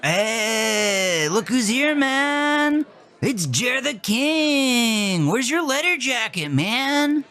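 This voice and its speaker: A man voicing a drunk, dopey teenage stoner, excitable and full of slack bro talk in an audio horror story. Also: extremely high pitch, very slow, some chatter behind, voice pushed up, full character voice. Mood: delighted greeting.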